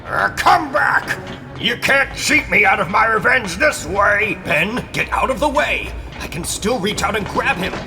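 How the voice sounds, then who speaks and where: A man playing a desperate, vengeful fighter, shouting frantically in a comic book dramatic reading. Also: high-pitched, lively intonation, unhurried, long pauses, over music.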